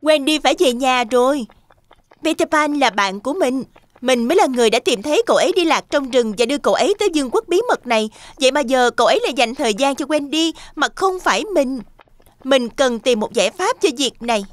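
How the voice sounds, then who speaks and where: A woman's voice: high voice, animated, a little fast, dry recording. A woman voicing a jealous, possessive young female fairy in a cartoon dub. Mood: jealous, upset.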